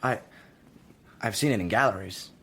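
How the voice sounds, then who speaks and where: A man's voice: on the low side, moderate pace, a bit bright. An actor with an American accent playing a guarded, polite, awkward teenage boy in a radio drama, hesitant and deferential. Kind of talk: naturalistic radio drama dialogue. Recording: clearly noisy background ambience.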